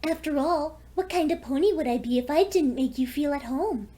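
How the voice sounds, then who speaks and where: A woman's voice: high-pitched, expressive, normal speed, mellow, pitched above the performer's own voice. A woman voicing a seductive, lonely vampire pony with a courteous, teasing manner in a fan fiction audio story. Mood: teasing, hospitable.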